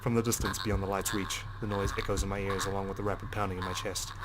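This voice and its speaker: A man's voice: deep voice, level tone, fast talker, very noisy. A man hosting a game playthrough, reading narration aloud expressively and dramatically. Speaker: gaming video host. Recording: game music behind the voice.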